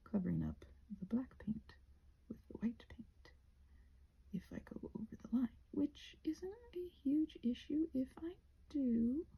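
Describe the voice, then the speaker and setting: A woman chatting in a rambling, halting ASMR style, soft-spoken and often slipping into whispers. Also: high voice, very animated, vocal fry, husky, dull audio. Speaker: ASMR creator rambling while painting a craft project. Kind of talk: ASMR rambling casual chat.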